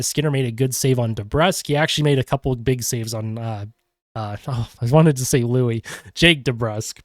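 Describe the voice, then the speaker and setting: A man with a congested cold, hosting a podcast and running quickly through a post-game hockey recap with frequent fillers. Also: mid-range voice, a little mellow, no echo, studio quality. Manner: quick play-by-play rundown with fillers. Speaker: podcast host.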